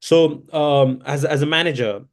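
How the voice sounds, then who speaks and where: A man with a hoarse voice answers interview questions at length. He rambles, restarts sentences and fills pauses with plenty of ums and uhs. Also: a little high. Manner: rambling, self-correcting, many fillers. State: hoarse from chanting. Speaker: interview guest, events entrepreneur.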